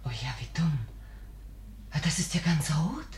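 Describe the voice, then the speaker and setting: An actress playing an uneasy, flustered daughter in a radio drama. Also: female voice, sits low, big pitch swings, unhurried, darkish tone, reverberant. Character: uneasy daughter hiding her alarm. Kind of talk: German radio drama acting.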